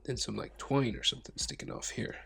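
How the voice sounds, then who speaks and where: A man with a head cold, narrating a step-by-step drawing tutorial, muttering quietly to himself and drifting into whispers. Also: mid-range voice, fairly even intonation, brisk, gravelly, faint music behind. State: congested with a cold. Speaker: art tutor.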